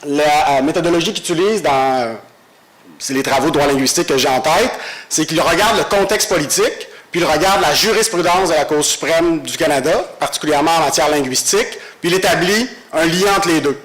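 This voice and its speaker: A man gives an academic law lecture at a university, speaking through a head cold in a measured, explanatory way. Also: fairly high pitch, fairly bright tone. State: has a cold. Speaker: guest lecturer, law professor.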